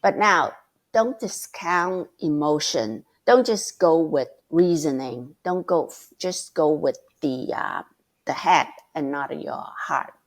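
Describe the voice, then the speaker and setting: Passionate woman guest with a loud voice. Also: low-pitched, lively intonation, slow. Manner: passionate, emphatic, restarts often. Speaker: guest mentor. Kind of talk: conversational interview.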